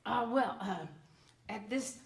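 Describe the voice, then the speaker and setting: An actress voicing a polite, hesitant charity collector in a stage radio play, recorded at a distance in a roomy space. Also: female voice, medium pitch, takes it slowly, gravelly. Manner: hesitant, formal. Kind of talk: stage radio drama acting.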